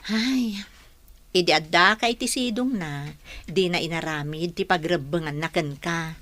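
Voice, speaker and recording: Woman playing frank confidante, radio drama, lowish voice, matter-of-fact advising tone. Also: unhurried, fairly bright tone, a little hiss or hum. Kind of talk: radio drama acting in Ilocano.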